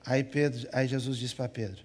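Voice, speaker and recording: A man with a Minas Gerais Brazilian accent, preaching a humorous sermon in church, jumping between loud emphatic lines and soft asides. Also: mid-range voice, fairly even intonation, normal speed. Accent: Minas Gerais Brazilian Portuguese.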